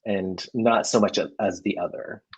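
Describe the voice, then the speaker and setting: A man's voice: deep voice, steady tone, moderate pace, darkish tone, breathy edge, muffled recording. A man raised in the United States, answering interview questions in a hesitant way with many restarts and fillers. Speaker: guest filmmaker.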